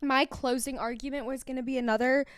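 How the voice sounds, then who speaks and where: Loud, quick young woman with a dry sound. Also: high-pitched, steady tone, clean tone, dry recording. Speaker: podcast co-host. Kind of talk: casual podcast debate.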